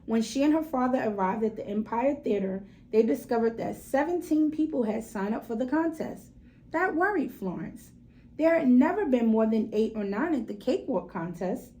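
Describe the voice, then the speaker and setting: A woman's voice: on the high side, expressive, moderate pace, warm tone, rough recording. A woman reading a children's story aloud expressively, with dramatic emphasis and direct asides to her listeners.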